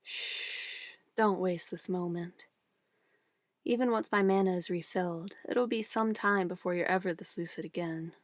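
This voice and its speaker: A woman playing a sweetly menacing, possessive witch in an ASMR roleplay, cooing and coaxing condescendingly in a soft voice. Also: lowish voice, steady tone, measured pace, no room sound, clean studio sound, natural voice. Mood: smug, calmly menacing. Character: possessive young-sounding witch, sweetly menacing, doting on her familiar.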